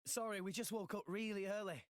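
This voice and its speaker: A man's voice: high voice, steady tone, brisk, dry recording, studio quality, close to an everyday voice. An actor playing an earnest, good-natured teenage boy hero in a video game, with a hesitant, sincere delivery. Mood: friendly. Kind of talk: video game cutscene voice acting. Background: orchestral music.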